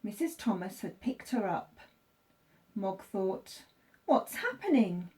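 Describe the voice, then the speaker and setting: A woman teacher reading a children's story aloud, with expressive, deliberate phrasing for young listeners. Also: mid-range voice.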